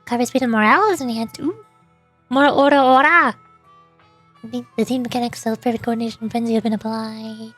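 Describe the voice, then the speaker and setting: A woman's voice: on the high side, steady tone, fairly quick, a bit bright, faint music behind. A woman streamer chatting casually and reading game text aloud in a playful, rambling way. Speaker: VTuber streamer.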